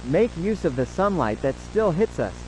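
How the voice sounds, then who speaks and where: A man playing a formal, solemn government announcer, reading a scripted emergency broadcast in a measured way. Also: on the high side, sing-song, slight background noise, low-quality recording. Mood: solemn, instructive.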